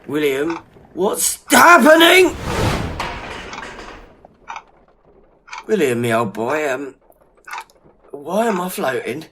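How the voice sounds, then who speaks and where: A man playing a loyal gentleman friend in a period audio drama, talking in a matey, colloquial way. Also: a little high, big pitch swings, takes it slowly, exaggerated voice. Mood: astonished. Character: loyal, grieving gentleman friend, informal and companionable. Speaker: voice actor. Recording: noisy amateur audio drama recording.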